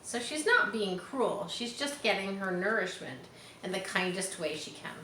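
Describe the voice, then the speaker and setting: A woman reading a children's book aloud in an expressive storytelling style, in a roomy space. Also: medium pitch, moderate pace. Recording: roomy echo with faint background noise.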